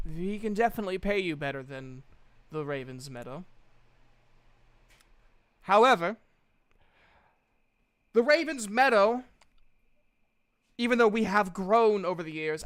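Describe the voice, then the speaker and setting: Man playing an earnest, measured guild spokeswoman. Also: high-pitched, fairly bright tone, smooth, dry recording, studio quality. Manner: measured, formal, reasoning. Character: earnest, diplomatic female guild representative making a counter-offer.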